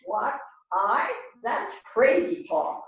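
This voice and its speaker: An older woman playing an indignant murder suspect in a radio mystery read-through, reading haltingly. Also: fairly high pitch, very animated, gravelly, exaggerated voice. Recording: echoey, distant video-call microphone.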